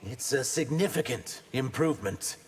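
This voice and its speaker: Man playing an earnest reptilian warrior, swooping pitch. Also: expressive, normal speed, lightly acted. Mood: earnest, guarded. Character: earnest young reptilian shapeshifter warrior, wary and sincere. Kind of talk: video game dialogue before fights. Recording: reverberant game arena, noisy background.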